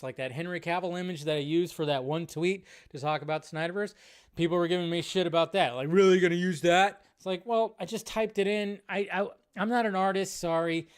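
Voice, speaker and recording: A man's voice: high-pitched, clean tone, no echo, studio quality. A man commenting on film news in a casual way, reading articles aloud and stumbling over names.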